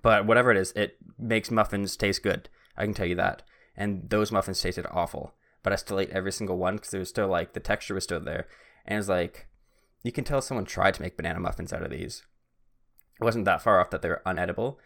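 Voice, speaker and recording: Low gravelly young man rambling on a podcast. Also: brisk, fairly bright tone. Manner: rambling, self-mocking, easily sidetracked. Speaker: podcast host. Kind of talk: casual solo podcast monologue. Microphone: podcast microphone.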